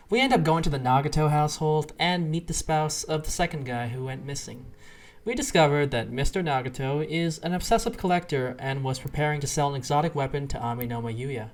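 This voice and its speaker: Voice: medium pitch, darkish tone. A reviewer giving casual, slangy video commentary on a game story, sarcastic and exasperated as they rant.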